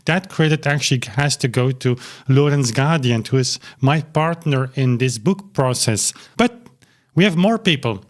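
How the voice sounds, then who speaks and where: A man's voice: mid-range voice, animated, moderate pace. Rambling man talking to camera. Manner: rambling, with many restarts and fillers. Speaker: YouTube channel host. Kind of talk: casual talk to camera. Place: small cozy home studio.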